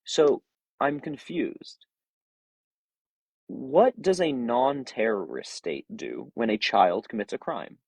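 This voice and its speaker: A man's voice: medium pitch, big pitch swings, takes it slowly, darkish tone, dry recording. A man hosting a live-stream debate, pressing his opponent with repeated questions while apologizing for cutting in. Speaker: show host.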